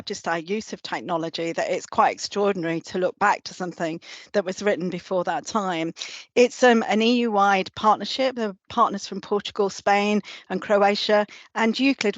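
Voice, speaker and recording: A woman hosting a webinar as senior lecturer, her voice slightly gruff from flu, explaining steadily with frequent pauses. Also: mid-range voice, dry recording, dull audio. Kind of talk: presenting a webinar.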